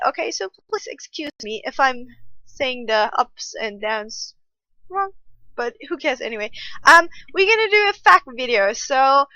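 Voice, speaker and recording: A woman's voice: high voice, sharp tone, dry recording, dull audio. A woman in her twenties from Austria, a German native speaker with an Austrian accent, chatting casually and self-mockingly to camera as a streamer. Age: 20s. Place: home room at night.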